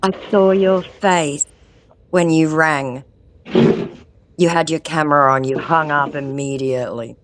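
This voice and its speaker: A woman's voice: low-pitched, steady tone, measured pace, long pauses, heavy background noise. A woman with an ear infection, mocking a phone scammer in a sarcastic, confrontational way. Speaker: scam-baiting vlogger. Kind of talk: confronting a phone scammer, then chatting to viewers.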